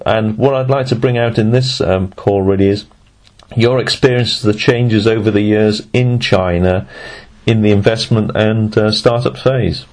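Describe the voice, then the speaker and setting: A man hosting a podcast interview over a voice call app, rambling and often restarting his phrases. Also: on the low side. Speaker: podcast host.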